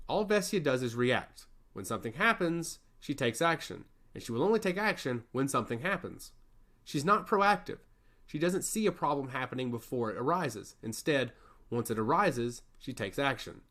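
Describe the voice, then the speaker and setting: An impassioned male god of chaos from a fantasy storybook, voiced by a man reading aloud. He speaks in earnest, emphatic monologues with widely swinging intonation and a mid-range pitch. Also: expressive, normal speed, a bit bright, close to an everyday voice. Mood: frustrated. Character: passionate male god of chaos who loves humanity. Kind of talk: fantasy storybook character dialogue.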